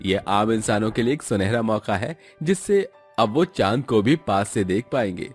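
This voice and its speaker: A man's voice: on the low side, expressive, moderate pace, slightly rough. A man voicing a polite, earnest young man in a cartoon story, with music behind him. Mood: excited. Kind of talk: Hindi cartoon story acting.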